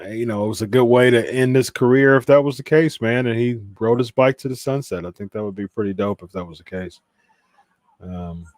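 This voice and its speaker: A man's voice: medium pitch, darkish tone, no room sound, clean studio sound. Chatty Midwestern man hosting a stream. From Ohio, USA. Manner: reads viewer comments aloud, chatty with fillers. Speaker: watch party host. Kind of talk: casual live-stream chat.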